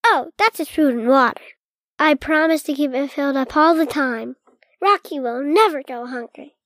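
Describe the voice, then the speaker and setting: A woman voicing an eager, proud little boy in a storybook reading, high and childlike. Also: moderate pace, no room sound. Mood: earnest. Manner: earnest, excited.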